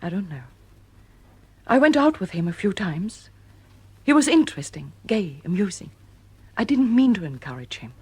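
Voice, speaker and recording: A remorseful, troubled wife from an audio drama. Her voice is husky and breathy, captured close and dry. She speaks with measured, melodramatic self-reproach. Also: medium pitch, sing-song, a little mellow, no room sound. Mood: wistful.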